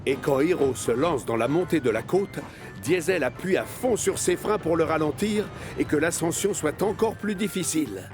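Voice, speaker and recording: A man with a slightly high voice tells a children's animated tale as a scripted narration. He is expressive and storyteller-like, with music clearly playing behind him. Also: normal speed.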